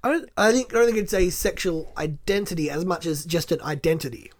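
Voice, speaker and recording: A man's voice: fairly high pitch, lively intonation, a little fast. A man co-hosting a podcast, chatty and riffing in casual talk, with the odd swear. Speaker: podcast co-host.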